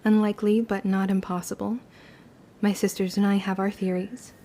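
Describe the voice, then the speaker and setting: A woman's voice: mid-range voice, flat delivery, normal speed, mellow, close to an everyday voice. An actress playing an earnest, bookish young witch in a period audio drama, speaking in formal old-fashioned phrases. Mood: wry, curious.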